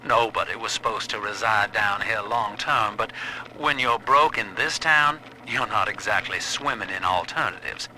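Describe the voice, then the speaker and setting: Sharp, folksy developer. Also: male voice, fairly low pitch, moderate pace. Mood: wry, disdainful. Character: shrewd, folksy, disdainful old-time property developer. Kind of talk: period game audio-log monologue. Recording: faintly noisy, radio-like audio log.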